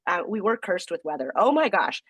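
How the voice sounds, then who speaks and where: A woman co-hosting a podcast with a cold that leaves her voice deeper and gravelly, telling lively stories. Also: brisk, dry recording. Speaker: podcast co-host. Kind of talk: casual podcast chat.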